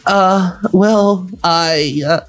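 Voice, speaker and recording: High-pitched nervous teenage girl, done by a man, shy, hesitant, mumbly, storybook character, music behind. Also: animated, measured pace. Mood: bashful.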